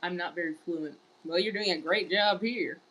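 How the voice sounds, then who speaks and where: A woman's voice: on the low side, normal speed, fairly bright tone, low-quality recording. A woman streaming live in casual chat, reading viewer messages aloud and answering them. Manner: wearing large headphones. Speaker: livestreamer.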